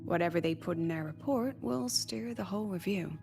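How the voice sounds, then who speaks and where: An actress playing a weary, wry academy president in a video game, sly and chatty, talking conversationally with sighs and coy pauses. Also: female voice, lowish voice, vocal fry. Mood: businesslike. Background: background music.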